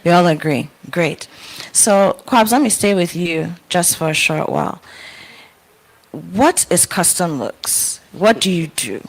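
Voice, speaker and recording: A woman's voice: on the low side, animated, slow. A woman moderating a panel discussion in front of a live audience, encouraging the guests.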